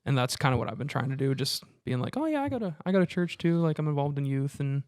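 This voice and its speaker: A man chatting casually as a podcast co-host, telling stories in an informal, halting way and acting out the dialogue. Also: mid-range voice, animated, a little mellow, clean tone, no echo, studio quality. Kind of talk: casual conversational storytelling.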